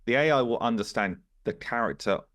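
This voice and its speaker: A man's voice: medium pitch, expressive, brisk, fairly bright tone. A man chatting casually on a podcast as he asks questions and muses.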